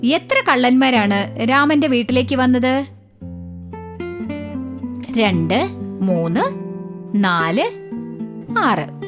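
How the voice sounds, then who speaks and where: Female children's quiz voice: extremely unhurried, sing-song range, clear music bed. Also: sits high, lively intonation. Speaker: quiz presenter. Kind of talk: slow scripted children's quiz.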